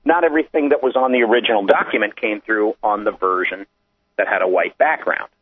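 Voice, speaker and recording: A man's voice: fairly low pitch, a little fast, husky. A man giving a conversational technical explanation, step by step, as a guest. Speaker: guest explaining digital documents. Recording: muffled, tinny phone line.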